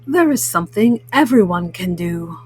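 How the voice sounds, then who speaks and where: A woman's voice: lowish voice, expressive, normal speed, a little mellow, breathy edge, voice pushed down. A woman voicing an easygoing, kindly male cat in a children's story, with a laid-back, reassuring manner.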